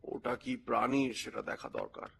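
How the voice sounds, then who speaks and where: An actor playing a genial, teasing elderly colonel who is an amateur detective in an audio story, with an unhurried, knowing delivery. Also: male voice, mid-range voice, wildly swooping pitch, gravelly, a bit bright, slight background noise, exaggerated voice. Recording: music bed under the voice.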